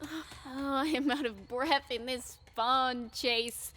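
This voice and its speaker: A woman's voice: high-pitched, steady tone, takes it slowly, a bit bright. A woman playing a lovesick, self-pitying young woman in a Shakespearean audio drama, pleading melodramatically.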